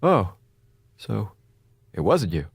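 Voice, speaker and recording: A man's voice: on the low side, big pitch swings, slow, vocal fry, breathy edge, no room sound, clean studio sound, heavily acted. An actor playing a wry, jittery male space station officer in a radio drama, rambling informally and stammering. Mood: bewildered.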